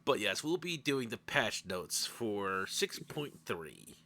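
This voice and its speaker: Male host, animated pitch, bright tone, playfully exasperated with his co-host. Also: slow. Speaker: podcast host. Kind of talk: casual game chat.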